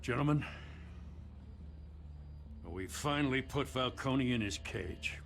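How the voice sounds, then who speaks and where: A man's voice: mid-range voice, takes it slowly, fairly bright tone, slight background noise. An actor playing a principled male police lieutenant, firm and matter-of-fact, in a dramatic game. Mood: resolute.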